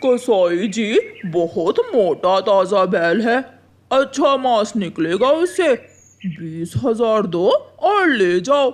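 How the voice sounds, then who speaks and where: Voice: mid-range voice, sing-song, slow, voice pushed down. A performer voicing a callous, greedy male crow moneylender in a cartoon, blunt and businesslike.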